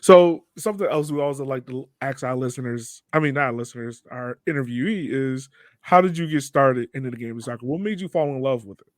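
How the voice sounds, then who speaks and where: A man's voice: mid-range voice, fairly quick, no room sound, clean studio sound. A man with an American accent hosting a casual podcast interview. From Richmond, Virginia, United States.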